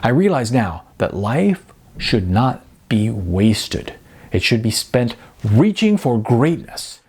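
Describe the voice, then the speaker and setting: Audiobook character read by a man: self-serious male writer, pompous and formal, gravelly, husky delivery. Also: medium pitch, sing-song, takes it slowly, darkish tone, exaggerated voice. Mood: solemn resolve. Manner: stilted, formal, grandiose. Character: pompous, earnest male aspiring screenwriter leaving a phone message.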